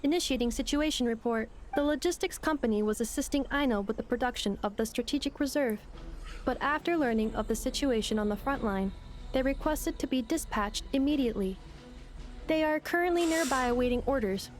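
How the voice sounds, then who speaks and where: A woman's voice: high-pitched, a little hiss or hum, natural voice. A woman voicing a polite, precise robotic girl in a video game, giving unruffled, formal reports. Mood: composed, formal. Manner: formal, measured, military-report phrasing. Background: game music.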